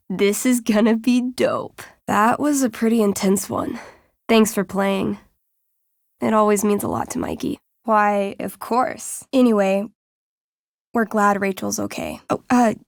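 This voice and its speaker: Young woman game master, unhurried pace, creaky voice, dramatic playful storyteller, video game character. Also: medium pitch, no room sound, clean studio sound. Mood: casual, upbeat. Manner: theatrical, suspenseful storytelling with casual asides. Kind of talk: dramatic tabletop game narration.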